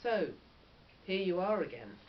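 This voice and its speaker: A woman's voice: fairly low pitch, lively intonation, measured pace. A woman reading the part of a brusque, unimpressed twelve-year-old girl with a British accent, curt and bored, from a storybook. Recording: dull lo-fi recording with background noise.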